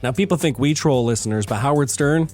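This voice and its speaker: A man's voice: mid-range voice, fairly quick, darkish tone, faint music behind. A man co-hosting a morning radio show, chatting casually in a joking, colloquial way. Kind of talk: casual on-air chat. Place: radio studio.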